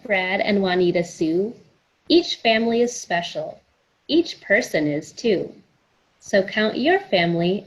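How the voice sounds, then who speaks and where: A woman's voice: mid-range voice, husky, lo-fi sound. A woman hosting a children's story time, reading aloud and counting along in an encouraging, sing-song way. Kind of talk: picture book read-aloud for children.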